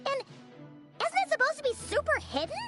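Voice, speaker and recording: A cheeky, nosy young girl from a video game. Her extremely high voice bounces around with perky, animated energy, over light music. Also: a little fast. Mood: startled, curious. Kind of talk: video game dialogue acting.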